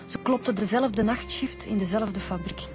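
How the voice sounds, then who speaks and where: A woman's voice: mid-range voice, normal speed, music in the background, natural voice. An actress as a composed Flemish policewoman. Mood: businesslike. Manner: composed, matter-of-fact. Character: level-headed, matter-of-fact female police detective. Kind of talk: radio drama acting.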